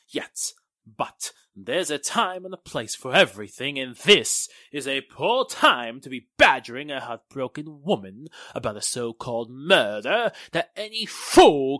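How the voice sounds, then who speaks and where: Pompous, protective gentleman, played by a man, 1940s radio acting, bright forceful tone, unhurried and blustering. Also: medium pitch, no room sound, exaggerated voice.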